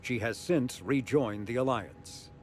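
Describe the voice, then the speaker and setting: A man reading scripted encyclopedic narration in a formal, measured, informative manner. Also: medium pitch, slight background noise. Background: faint music.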